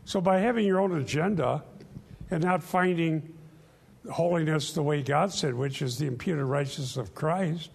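Older male radio pastor, gravelly and a little high-pitched, earnest emphatic Bible teaching. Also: moderate pace. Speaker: radio show host and pastor.

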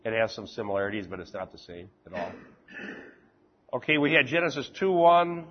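A man teaching an informal Bible class, chatting with the group and reading scripture slowly and deliberately. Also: mid-range voice, big pitch swings, highs cut off. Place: classroom with fans running.

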